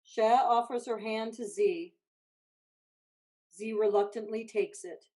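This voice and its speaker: A woman's voice: on the high side, slow pace, darkish tone. A woman reading stage directions as scripted narration for a play.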